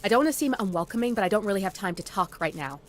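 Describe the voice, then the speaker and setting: A woman playing an overworked military medic in a video game, brisk and curt. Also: mid-range voice, expressive, close to an everyday voice. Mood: harried, grim. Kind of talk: video game cutscene acting.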